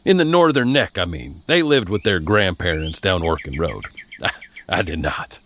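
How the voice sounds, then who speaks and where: Male storyteller, American, low voice with lively swings, folksy and wry, noticeable background noise. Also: normal speed. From Virginia, United States. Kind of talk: first-person narrated story.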